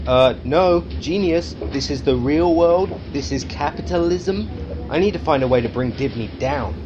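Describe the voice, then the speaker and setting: A man's voice: a little high, moderate pace, lightly acted. Man acting foul-mouthed British tycoon, muffled noisy recording. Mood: bitter, ranting. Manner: sweary, domineering, sarcastic. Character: ranting, megalomaniac British arms company boss, crude and bitter. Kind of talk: satirical audio drama acting.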